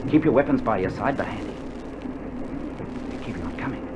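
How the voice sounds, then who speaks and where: An actor playing a laconic, dryly stern Australian sergeant major with gruff understatement, in a 1960s radio drama. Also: male voice, medium pitch, expressive, brisk, close to an everyday voice. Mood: wary. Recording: muffled 1960s vinyl, faint noise.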